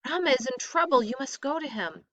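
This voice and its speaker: A woman voicing a devoted, gentle young princess in a storybook, earnest and tender. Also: high voice, lively intonation, brisk, no room sound, voice pushed up. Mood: urgent.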